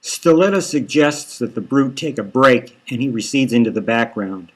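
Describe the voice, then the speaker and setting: A man's voice: medium pitch, lively intonation, normal speed. A man reading scripted first-person story narration as the author of the novel.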